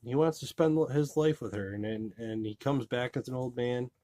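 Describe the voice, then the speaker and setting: A man's voice: medium pitch, moderate pace, dark tone, no echo. A man giving a casual, rambling movie review, full of fillers like "you know" and restarts. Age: adult.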